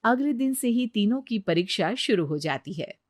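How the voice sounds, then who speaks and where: A woman's voice: medium pitch, expressive, normal speed. A woman narrating a scripted moral story.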